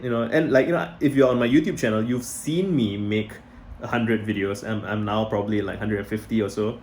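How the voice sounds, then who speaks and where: A man's voice: medium pitch, darkish tone, a little hiss or hum. A man with a Singaporean accent, in his thirties, talking casually and rambling to camera, often restarting his sentences. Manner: rambling, self-correcting, conversational. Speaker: video blogger. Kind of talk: casual talking-head vlog.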